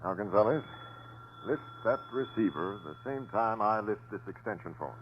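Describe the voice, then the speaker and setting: An actor playing a methodical, commanding police captain, giving clipped orders in 1940s radio crime drama style. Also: male voice, on the low side, lively intonation, normal speed, muffled recording, close to an everyday voice. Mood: urgent. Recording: noisy 1940s radio broadcast recording.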